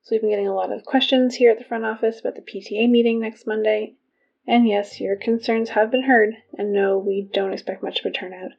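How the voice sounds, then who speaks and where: A woman's voice: mid-range voice, fairly even intonation, a little fast, vocal fry, a little mellow. A woman playing an exasperated school announcer in a fiction podcast, reading notices with dry, wry asides. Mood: brisk, official. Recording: dull lo-fi PA sound, music behind.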